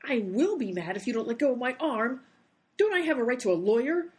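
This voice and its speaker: A woman's voice: on the high side, lively intonation, brisk, a little mellow, natural voice. A woman playing an exasperated, sarcastic time traveler in a comedy radio play. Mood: indignant.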